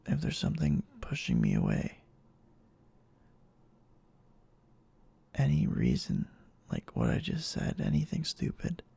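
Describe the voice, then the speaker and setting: A man playing a clingy, obsessively devoted boyfriend in an intimate ASMR roleplay, speaking haltingly in a breathy half-whisper. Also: very deep voice, huge pitch swings, warm tone, no echo, exaggerated voice. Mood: reassuring, uneasy. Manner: halting, slow, hesitant.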